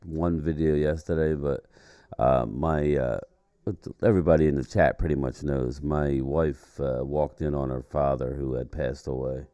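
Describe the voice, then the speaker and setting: Man with a deep, raspy chest-cold voice. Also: fairly even intonation, warm tone, dry recording, clean studio sound. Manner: halting, frequent fillers and restarts. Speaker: co-host. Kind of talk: casual livestream chat.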